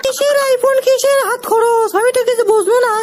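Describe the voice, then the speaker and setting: Young cartoon wife, an acted part: extremely high pitched-up voice, flat intonation, baffled and dismissive. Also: a little fast, very noisy. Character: bewildered young married village woman, firmly refusing. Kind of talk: comic cartoon dialogue.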